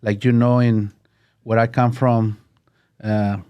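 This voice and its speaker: Man, 50s, Mexican accent, native Spanish speaker, halting, warm dark voice, interview guest. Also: medium pitch, level tone, clear, resonant tone. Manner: halting, searching for words in English. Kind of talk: casual interview talk.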